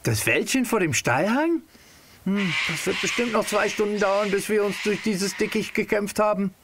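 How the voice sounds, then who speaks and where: A man's voice: high-pitched, normal speed, background noise. An actor playing a hungry, cheeky adventurous boy with a teasing, defensive manner in an audio drama. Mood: curious.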